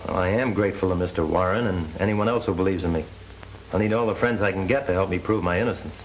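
A man's voice: deep voice, fast, natural voice. A young man playing a proud, bitter parolee, terse and earnest, in 1930s film style. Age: young adult. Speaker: actor playing a paroled convict. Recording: noisy 1930s film soundtrack.

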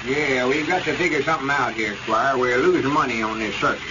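An actor playing a self-important rural circus owner with a Southern accent, laboriously explaining in radio comedy. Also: male voice, mid-range voice, brisk, natural voice. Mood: worried. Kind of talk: old-time radio comedy acting. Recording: muffled, noisy old radio recording.

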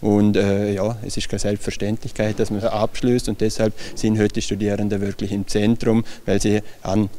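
A man in his forties with a fairly low voice. He speaks hesitantly in a news interview, full of "äh" fillers, into a handheld microphone in a large bright hall. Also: flat delivery, normal speed, few pauses, a little hiss or hum. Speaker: university rector. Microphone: handheld microphone held near the speaker.

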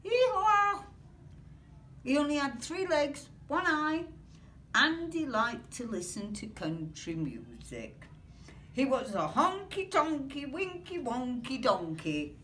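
A British woman in her sixties reading a picture book aloud in an expressive, rhythmic sing-song way. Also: high-pitched, slow. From Britain. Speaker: grandmother reading aloud to children. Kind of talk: storybook read-aloud. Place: small carpeted classroom or office, indoors. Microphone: camera or phone a few metres away. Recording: distant mic, room noise.

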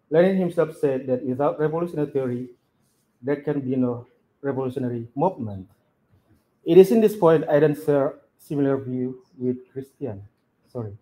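A man reads his prepared remarks to a conference room in a slightly higher, mellow voice. He is deliberate and emphatic and presses each question home. The sound comes from a camera across the room. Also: low-quality recording. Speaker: conference discussant.

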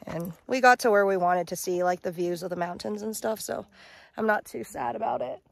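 A woman's voice: medium pitch, fairly quick, vocal fry, dry recording. Chatty tired young woman vlogging outdoors. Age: young adult. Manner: chatty, rambling with many likes and ums. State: tired and worn out. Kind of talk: casual travel vlog chat. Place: outdoors on a mountain ridge. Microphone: phone held at arm's length.

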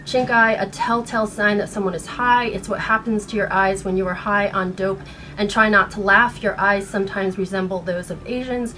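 An American woman in her thirties speaking in an earnest, halting way, upset and shaken, as in a personal vlog. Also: mid-range voice, fairly even intonation, sharp tone, poor audio. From United States. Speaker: vlogger. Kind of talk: personal video blog, partly reading aloud. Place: small bedroom at home. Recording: noticeable background room noise.

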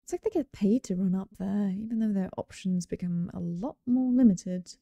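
A woman's voice: mid-range voice, moderate pace, vocal fry, warm tone, no echo. A British woman playing a cheerful, chatty slasher killer in an ASMR roleplay, teasing and casual with sweet menace. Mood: playfully menacing. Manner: playful, casual, teasing, amused.

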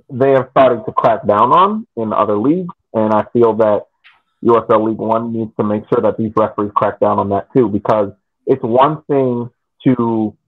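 A man casually analyzing a soccer match as a podcast panelist, speaking in a halting, deliberate way. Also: mid-range voice. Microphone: video call. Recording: phone-like narrowband call audio.

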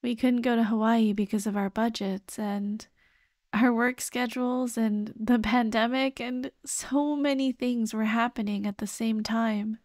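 A high, very dry and close voice of a woman acting as a dead girlfriend's ghost in an ASMR roleplay. She speaks slowly and tenderly, full of grief, gently pleading and comforting the one she left behind. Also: fairly even intonation, dry recording, close to an everyday voice. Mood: wistful, tender. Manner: slow, tender, sighing, pleading.

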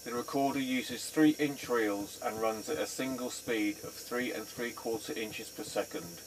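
A man giving a scripted demonstration in a plain, measured, matter-of-fact way. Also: medium pitch. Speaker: presenter demonstrating vintage equipment. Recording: faint background noise.

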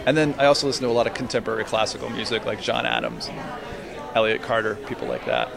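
A man around forty, a painter, talking in a casual, halting and thoughtful interview way about his work. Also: medium pitch, sing-song, creaky, crowd noise. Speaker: painter and art teacher. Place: busy restaurant during an art reception. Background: music.

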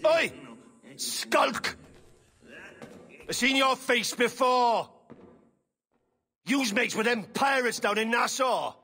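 Game drunkard: rough English brawler, sharp forceful tone, belligerent, echoing reverb. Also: male voice, very high-pitched, lively intonation, slow, pauses often, heavily acted. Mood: accusing. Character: belligerent, sneering English drunkard, former serviceman. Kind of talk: video game cutscene acting.

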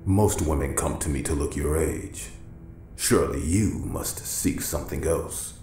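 A very low, slow-speaking older surgeon in a cyberpunk audio drama. He is courtly, formal and menacing. The room echoes and music plays clearly behind him. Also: mellow, a little hiss or hum, exaggerated voice. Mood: courtly, sly. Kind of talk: cyberpunk radio drama acting. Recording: roomy echo with music behind.

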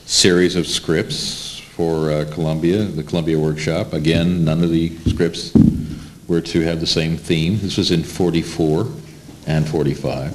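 A man's voice: extremely low pitch, steady tone, a little slow. A middle-aged man speaking informally as a panelist. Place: plain room, seated at a table before a small audience. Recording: faintly noisy amateur camcorder recording.

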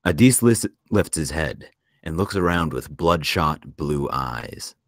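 A man narrating a screenplay at a table read in a measured storytelling delivery. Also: a bass voice, lively intonation, dry recording, studio quality. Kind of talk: scripted narration of stage directions.

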